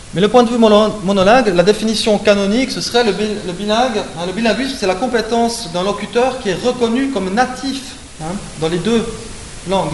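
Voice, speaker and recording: A man from Switzerland giving an academic lecture, explaining points with frequent hein and euh and correcting himself as he goes. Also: sits high. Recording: echoey room with faint hiss.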